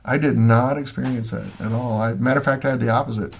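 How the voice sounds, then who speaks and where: A middle-aged man chats at home, answering viewers as he goes. His voice is gravelly and a little husky. He rambles, restarts sentences and fills the gaps with ums. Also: medium pitch, fairly even intonation, fast. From Missouri, USA. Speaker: livestream host. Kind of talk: casual chat, answering viewer comments.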